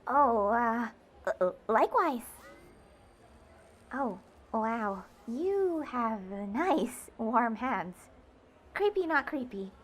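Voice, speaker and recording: A woman's voice: fairly high pitch, very animated, drawn-out words, pauses often, full character voice. A woman playing an awkward, sweet, easily flustered teenage girl who stammers, in an audio drama.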